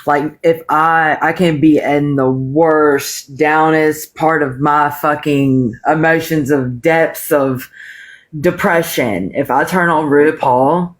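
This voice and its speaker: A woman with a deep, smooth voice and a country twang, a guest talking candidly and swearing freely in a casual live chat. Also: slow pace. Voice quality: deep voice with bass; smooth, calming. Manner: candid, frequent swearing and laughter.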